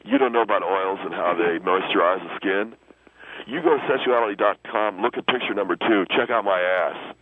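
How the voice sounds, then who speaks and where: A man voicing a vain, sleazy radio guest who is smug, halting and defensive in a comedy call-in. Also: deep voice, a little hiss or hum, close to an everyday voice. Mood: boastful. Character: vain, sleazy, self-infatuated male studmuffin radio guest. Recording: muffled, band-limited phone line.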